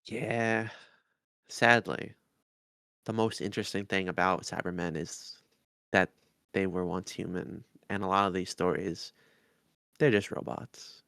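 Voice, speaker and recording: Gravelly, lowish-voiced man chatting. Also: steady tone, a little slow, pauses often, sharp tone, no echo, studio quality. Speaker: podcast co-host. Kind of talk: casual review chat.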